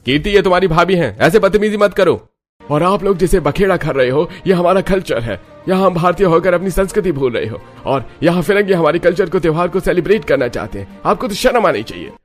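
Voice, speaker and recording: A man's voice: high voice, animated, brisk, voice pushed up. A man voicing an indignant young husband in a cartoon, scolding emphatically in defence of his culture. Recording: music bed under the voice.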